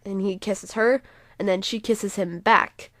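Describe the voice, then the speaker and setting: A woman's voice: medium pitch, steady tone, measured pace. A woman talking casually and unscripted as she walks viewers through a video, rambling a little and often trailing off. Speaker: video creator explaining own roleplay series. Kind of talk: casual unscripted walkthrough commentary.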